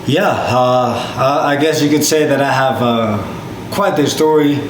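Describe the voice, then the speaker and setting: A man's voice: medium pitch, measured pace. A man in his twenties from Peru, a podcast guest telling his story casually with many fillers and restarts. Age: 20s. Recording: echoey room with loud background noise.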